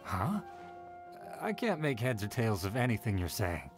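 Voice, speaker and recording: An actor playing a wary, irritable commoner in a video game, blunt and exasperated. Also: male voice, on the low side, sing-song, measured pace, music in the background. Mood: irritated. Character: wary, irritable ordinary townsman, dismissive of nobles. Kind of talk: scripted video game voice acting.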